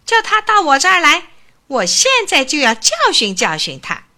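A woman voicing an arrogant, hot-tempered little male mouse who squeaks and shouts in a storybook. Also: sing-song, a little slow, heavily acted. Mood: blustering.

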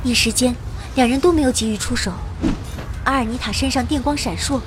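A woman's voice: a little high, a little fast, over music, lightly acted. An actress playing an earnest young female adventurer who narrates her story in a fantasy radio drama. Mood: urgent, dramatic.

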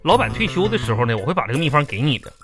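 A man playing a fussy, excitable, boastful middle-aged company boss in a comic radio sketch, with a Northeastern Chinese accent and a bossy, repetitive, exasperated way of talking. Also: fairly high pitch, rapid-fire, over music. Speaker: comedy radio drama host and actor.

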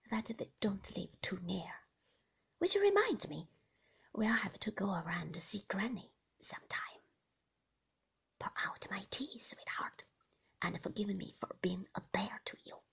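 A woman voicing a weary, troubled upper-class English husband in a slow, breathy whisper. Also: medium pitch, exaggerated voice. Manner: soft, slow and hushed. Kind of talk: whispered audiobook reading.

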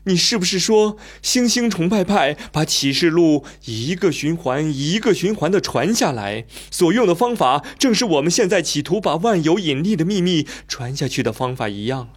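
A man's voice: on the high side, big pitch swings, moderate pace, hardly any pauses, breathy edge. An actor playing a thoughtful, inquisitive young man who questions his elder in a radio drama. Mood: curious.

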